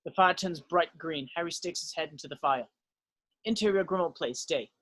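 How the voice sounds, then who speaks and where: A man's voice: fairly high pitch, moderate pace, fairly bright tone, dry recording, poor audio. A man narrating stage directions in an amateur screenplay table read.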